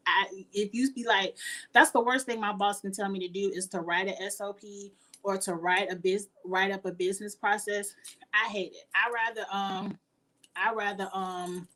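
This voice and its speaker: A woman, chatting casually with her viewers as a YouTuber, laughing often and sounding earnest and encouraging. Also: fairly bright tone. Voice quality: high-pitched voice. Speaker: YouTuber answering live chat.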